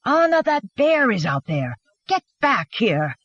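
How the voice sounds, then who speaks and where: Narrator doing mad stubborn swedish husband, sarcastic and shouty, husky, big pitch swings, audiobook. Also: expressive, normal speed, no echo, muffled recording. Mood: furious shouting. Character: angry, stubborn young Swedish immigrant husband, bitter. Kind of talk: dramatised audiobook dialogue.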